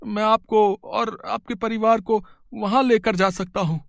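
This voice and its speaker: An actor playing a kind, humble older male security guard in an animated story, speaking hesitantly with many "uh" fillers. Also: sits high, measured pace, gravelly, no room sound, studio quality. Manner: hesitant, full of "uh" fillers, deferential. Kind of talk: storybook voice acting.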